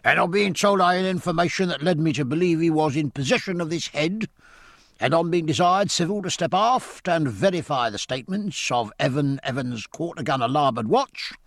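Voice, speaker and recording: A man voicing a ship's master-at-arms who reads out charges in a hoarse, stiff official manner. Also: on the high side, lively intonation, moderate pace, no echo, pitched above the performer's own voice. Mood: officious. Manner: hoarse, formal, reciting charges.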